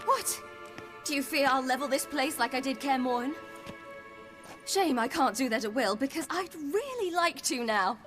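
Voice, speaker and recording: Young magic-powered game heroine, highish voice, intense n blunt, hurt but stubborn. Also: female voice, expressive, normal speed, music in the background. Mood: indignant, hurt. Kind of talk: video game voice acting.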